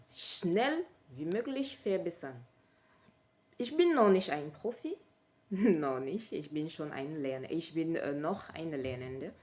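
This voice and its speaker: A woman speaking German with a French accent as a learner, hesitant and often stopping to correct herself in a casual vlog. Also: fairly low pitch. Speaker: language learner sharing her method. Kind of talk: casual vlog talk to camera.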